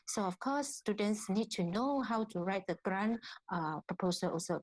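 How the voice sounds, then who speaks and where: A woman with a Malaysian accent lecturing at an online workshop as an academic, in a halting way, often repeating and rephrasing herself. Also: mid-range voice, rough recording. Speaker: academic researcher, guest lecturer.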